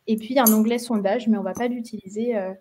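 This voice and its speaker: A young Parisian woman hosts an online webinar. She talks in a slightly higher, mellow voice. She keeps stopping for fillers and small restarts. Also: fairly even intonation, fast, a little hiss or hum. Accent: Parisian French. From France. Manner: hesitant, many fillers and restarts. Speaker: marketing webinar host. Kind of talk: webinar presentation.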